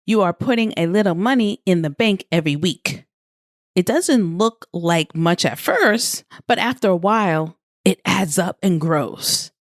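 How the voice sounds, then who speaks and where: Slow-paced woman with lively, swooping intonation. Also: medium pitch, no room sound, studio quality. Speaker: podcast host and life coach. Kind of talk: scripted motivational podcast narration.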